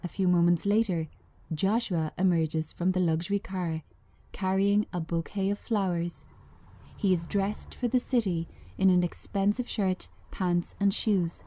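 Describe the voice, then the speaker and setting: A woman giving a scripted narration of screenplay directions in a measured, understated manner. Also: lowish voice, steady tone, dark tone, no echo.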